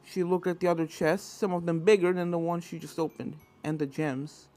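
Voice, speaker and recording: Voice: medium pitch, fairly even intonation, fairly quick, darkish tone. A reader giving scripted narration of a fan fiction story. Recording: game music behind the voice.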